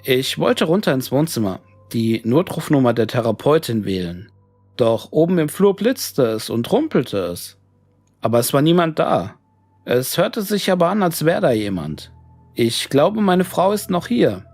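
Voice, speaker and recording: A man's voice: a little high, very animated, measured pace, a little mellow, no room sound. A man reading a scripted horror story made up of written messages. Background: music.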